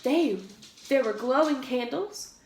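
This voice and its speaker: Female preschool teacher for storybook read-aloud: slightly high voice, unhurried, emphatic sound words, roomy recording. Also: lively intonation, a little mellow, slight background noise. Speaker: pre-K teacher reading aloud.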